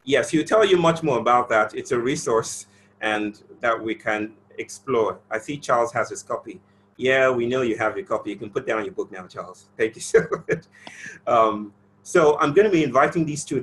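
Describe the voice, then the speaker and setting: Male host, affectionate and effusive, introducing guests on a video call; mid-pitched, faint noise behind. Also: a bit bright, low-quality recording. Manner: effusive, affectionate, quick bursts. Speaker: session host.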